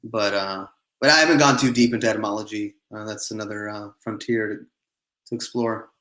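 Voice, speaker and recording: A man's voice: lowish voice, fairly even intonation, brisk, pushed and bright, roomy sound, poor audio. A man whose first language is Greek, a podcast guest talking eagerly and chattily in casual conversation.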